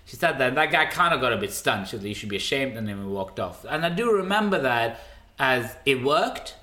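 A man in his twenties who grew up in Sweden, telling stories in casual chat with animated, mock-indignant asides. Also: mid-range voice, a little fast. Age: 20s. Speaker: comedian co-host. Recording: roomy echo.

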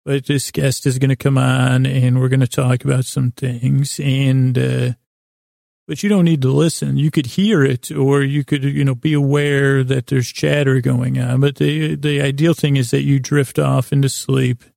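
Very creaky-voiced man rambling, flat pitch. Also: no room sound, studio quality. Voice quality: gravelly, creaky. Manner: meandering, halting, pauses and restates himself mid-thought. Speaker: podcast host. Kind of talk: rambling bedtime monologue to help listeners sleep.

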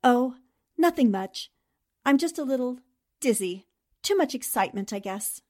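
A woman playing a shrewd, composed newspaper columnist and amateur sleuth in a radio mystery drama, speaking with deliberate, storytelling delivery. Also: high-pitched, animated, warm tone. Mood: faint, evasive.

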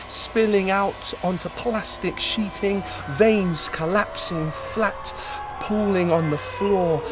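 A man reading a dark horror story aloud, intense, swinging between urgent peaks and low hushed passages. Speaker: audiobook reader. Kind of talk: scripted dramatic horror narration.

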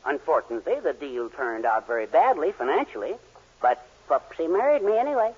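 A meek middle-aged husband in a 1940s radio sitcom. His voice sits on the high side and swings about as he hems and haws kindly. The old broadcast recording is dull and muffled. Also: very animated, fairly quick, husky. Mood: apologetic, hesitant. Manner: hesitant, hemming and hawing.

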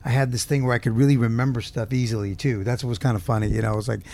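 A man from Southern California talks loosely in an interview, with a gravelly, creaky edge to his mid-pitched voice. He wanders and hunts for his words, speaking close to a handheld mic. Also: steady tone, very fast, a little mellow, slight background noise. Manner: rambling, searching for words. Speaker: guest musician. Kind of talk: casual interview. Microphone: handheld mic held close.

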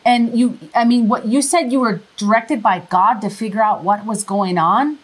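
A woman's voice: on the high side, moderate pace, rough recording. Minnesota-accented woman host. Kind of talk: casual interview chat.

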